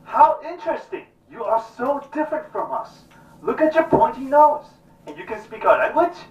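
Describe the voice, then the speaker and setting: Curious herd boy, as acted by a puppeteer, friendly, exclamatory, very animated pitch, muffled and far from the mic. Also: moderate pace. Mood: amazed, curious. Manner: exclamatory, wondering. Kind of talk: stage puppet show acting. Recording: distant echoey muffled stage recording with music.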